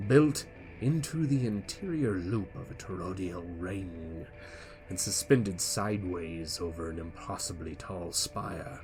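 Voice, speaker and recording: A man giving a dramatic first-person reading as his character, swinging between loud emphatic peaks and quiet low endings. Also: unhurried, soft background music, rough recording. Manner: theatrical, rising to loud emphatic peaks then dropping low. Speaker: player reading character backstory.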